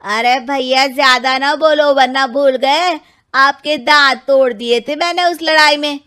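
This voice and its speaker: Cartoon younger brother female performer: quarrelsome, timid grown man, excitable, raised pitch. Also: unhurried. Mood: boastful, defiant. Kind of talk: Hindi moral-story cartoon dubbing.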